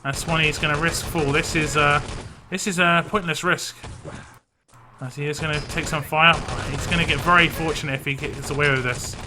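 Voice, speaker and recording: A man casting a video game match live, tired and losing his voice, with frequent fillers and quick self-corrections. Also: fairly high pitch, steady tone, noisy background. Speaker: esports caster.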